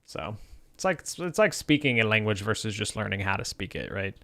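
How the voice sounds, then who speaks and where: A man streaming his game development, chatting casually and thinking out loud with many fillers while encouraging viewers. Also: fairly low pitch, very animated, fairly quick. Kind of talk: casual livestream chat while coding.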